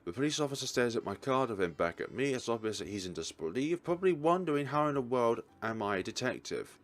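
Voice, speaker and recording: A man reading a visual novel aloud as a let's player, with deliberate, theatrical narration. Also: medium pitch, expressive.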